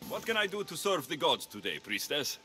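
An actor playing a tough, blunt, sardonic mercenary of ancient Greece in a video game. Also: male voice, fairly high pitch, sing-song, a little fast, pushed and bright. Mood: wry, businesslike. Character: tough, wry male Spartan mercenary, blunt and menacing. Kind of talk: video game character acting.

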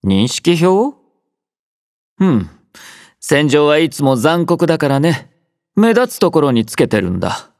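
A sleepy teasing young army doctor. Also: male voice, on the high side, a little slow, creaky, pauses often, no echo, studio quality, heavily acted. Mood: easygoing teasing. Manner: relaxed, drowsy, gently teasing. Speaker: game character voice lines. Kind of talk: game voice collection. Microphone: close studio mic.

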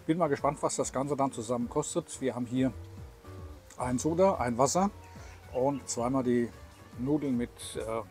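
A man in his sixties hosts a casual travel vlog. His voice sits at a medium pitch, and he explains things in a chatty way. Faint music plays behind him. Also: moderate pace, a little mellow. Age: 60s. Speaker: vlog host.

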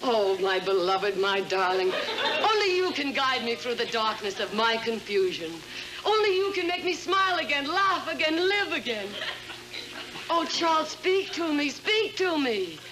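An actress playing an anguished, pleading Victorian wife in a melodrama parody for television. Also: female voice, high voice, big pitch swings, measured pace. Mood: adoring, pleading. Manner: overwrought, pleading, repeats phrases. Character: anguished Victorian wife being driven mad, theatrically fretful. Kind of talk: 1950s TV melodrama parody acting. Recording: noisy vintage 1950s TV soundtrack with hum.

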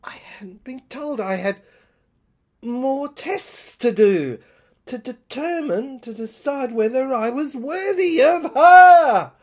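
High, husky woman in a halting dramatic monologue. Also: expressive. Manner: halting short phrases with pauses, sometimes dropping to a whisper. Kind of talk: dramatic first-person monologue, audio play narration.

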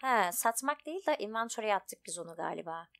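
A woman's voice: medium pitch, lively intonation, fairly quick, creaky, a bit bright. A woman giving casual, chatty let's play commentary, thinking aloud as she goes. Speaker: gaming video host.

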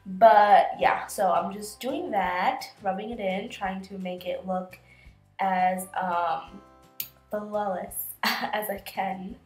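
A woman's voice: mid-range voice, fairly even intonation, slow pace, darkish tone. A woman in her twenties, sick with a cold, chatting through a makeup tutorial in a small bedroom, talking into a camera close in front of her. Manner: chatty, filler-heavy, explains each step. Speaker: beauty vlogger. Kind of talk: casual makeup tutorial narration. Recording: roomy bedroom echo, faint music behind.

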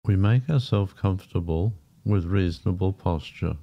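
A man guiding a meditation in a gentle, unhurried manner, leaving long pauses between short phrases. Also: deep voice, creaky. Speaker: meditation guide. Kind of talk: guided meditation, softly spoken. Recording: dull, muffled lo-fi sound. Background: background music.

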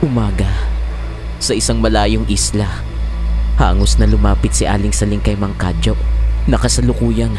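A man's voice: on the low side, fairly even intonation, moderate pace, long pauses. A man narrating a scripted horror story in a dramatic style. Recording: loud music bed behind voice.

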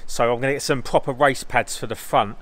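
A man talks eagerly and loosely into a phone camera while showing off his car at night. His voice sits at a middling pitch. He rambles through car jargon in a casual, unscripted way. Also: steady tone, fairly quick, a bit bright. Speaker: car vlogger. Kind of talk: casual car vlog commentary. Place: in and around cars outdoors at night, engine noise. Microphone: phone camera held by the speaker.

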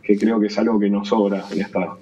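A man's voice: lowish voice, flat delivery, fairly quick, mellow, recorded from a distance. Man on dull video call. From Argentina. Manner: hesitant, many fillers. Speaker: remote guest, tech executive. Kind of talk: interview.

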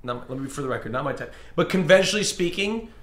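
High-voiced man in an echoey room. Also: animated, quick pace. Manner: insistent, repeats himself to hold the floor. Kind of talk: casual group chat. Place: indoor room with several people.

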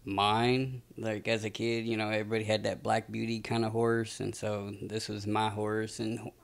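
A man's voice: fairly low pitch, normal speed, gravelly. A man in his late thirties from Texas, a podcast guest talking casually with lots of fillers, recorded on a studio mic. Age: 30s. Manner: relaxed, rambling storyteller with many fillers. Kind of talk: casual interview talk. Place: small podcast studio indoors. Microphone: studio mic on a boom arm.

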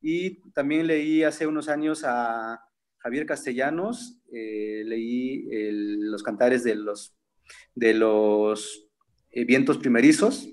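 A man's voice: mid-range voice, slow, darkish tone. Man in his thirties, native Zapotec speaker, thoughtful seminar talk, laptop webcam call, frequent fillers. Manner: thoughtful, many fillers and restarts. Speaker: seminar participant. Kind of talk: reflective conversational talk. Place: room at home with framed pictures. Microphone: laptop webcam on a video call.

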